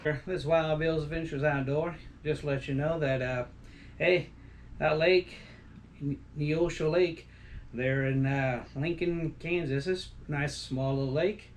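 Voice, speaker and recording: A man's voice: on the high side, fairly even intonation, unhurried, a little hiss or hum. Folksy middle-aged man rambling about fishing. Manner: loose, folksy, full of restarts and fillers. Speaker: outdoor vlogger. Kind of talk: rambling casual vlog chat. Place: small decorated home room, indoors.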